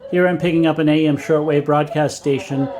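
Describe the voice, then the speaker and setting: Higher-pitched man, methodical explainer. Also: fairly even intonation, a little mellow. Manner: measured, methodical, matter-of-fact. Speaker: vintage radio hobbyist and presenter. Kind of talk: scripted explanatory narration.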